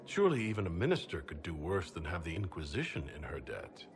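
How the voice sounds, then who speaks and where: An actor playing a steady, gallant leader in a video game, speaking in a courteous, direct and diplomatic manner. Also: male voice, low-pitched, quick pace, a little hiss or hum, exaggerated voice. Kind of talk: video game cutscene acting.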